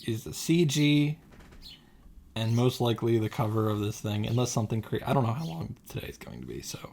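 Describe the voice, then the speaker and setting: A man reading a game script aloud and reacting off the cuff, halting and sometimes correcting himself as he goes. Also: mid-range voice, big pitch swings, darkish tone, slight background noise. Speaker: streamer reading and reacting to a visual novel. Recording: music playing behind the voice. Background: clear background music.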